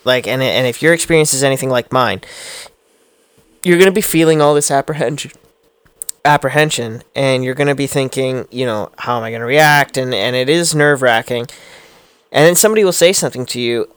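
A man in his thirties in casual podcast chat, earnest and reflective and quick to laugh. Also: mid-range voice, fairly bright tone, clear, resonant tone, no echo.

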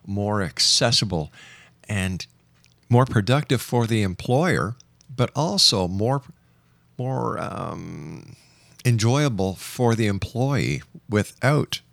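A man hosting a radio interview show, speaking in a measured, emphatic way with deliberate pauses. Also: fairly low pitch, lively intonation, creaky, dry recording. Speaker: radio talk show host.

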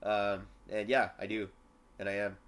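A man's voice: on the low side, completely flat delivery, moderate pace, fairly bright tone. Brash, self-mocking man. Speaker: podcast co-host. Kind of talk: casual podcast chat.

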